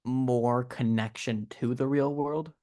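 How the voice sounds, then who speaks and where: Midwest chicago guy cohosting a movie podcast, kinda halting, restarts a lot. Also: mid-range voice, fairly even intonation, warm tone. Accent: Midwest American, Chicago. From USA. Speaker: podcast co-host. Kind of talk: casual chat.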